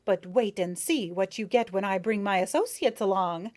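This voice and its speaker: A woman voicing a small, indignant male ant with a clear, piping voice, sharp and vicious in tone. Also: mid-range voice, normal speed, natural voice. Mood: shrill defiance.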